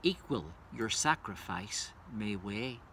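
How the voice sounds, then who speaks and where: A man speaking as a poetry reader, introducing and reciting verse in a measured way. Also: fairly low pitch, lively intonation, bright, forceful tone, a little hiss or hum.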